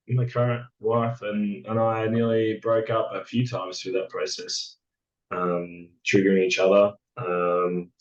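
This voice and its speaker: A man with a hoarse, raspy voice, talking in a halting, reflective way as a podcast guest, full of fillers and restarts. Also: lowish voice, highs cut off. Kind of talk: casual reflective chat.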